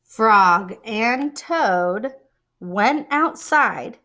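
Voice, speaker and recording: A woman reading a children's picture book aloud, expressively, pausing to explain and put questions to young listeners. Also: on the high side, expressive, pushed and bright. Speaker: read-aloud storyteller and teacher-like reader.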